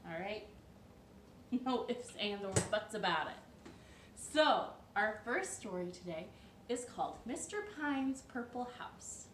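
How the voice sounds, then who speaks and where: A woman hosting a children's storytime from her living room, telling the story in a playful, expressive and emphatic way. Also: medium pitch, slow pace. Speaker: storytime host. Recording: roomy echo, distant mic, light noise.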